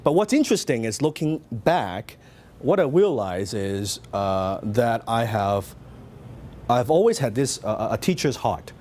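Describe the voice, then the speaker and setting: A man's voice: medium pitch, very animated, measured pace, a little mellow. A middle-aged man from Hong Kong with a Hong Kong Cantonese accent, giving a motivational seminar talk and telling his story with lots of questions to the audience. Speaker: business speaker.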